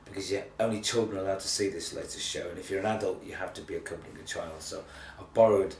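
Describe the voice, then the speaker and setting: Low, slightly croaky man in an echoey room. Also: fairly even intonation, quick pace, darkish tone. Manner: hesitant, rambling, self-deprecating. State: tired, with a bit of a cold. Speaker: theatre artistic director giving a monthly video update. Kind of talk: casual, unscripted video blog chat. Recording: echoey, distant mic, faint noise.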